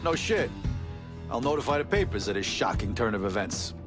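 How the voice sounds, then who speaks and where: An actor playing a brash middle-aged mobster in a video game, talking profane, swaggering street talk. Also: male voice, fairly low pitch, sing-song, a little fast. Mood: proud, sincere. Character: brash, foul-mouthed middle-aged mobster right-hand man. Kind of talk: video game cutscene acting. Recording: music behind the voice. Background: music.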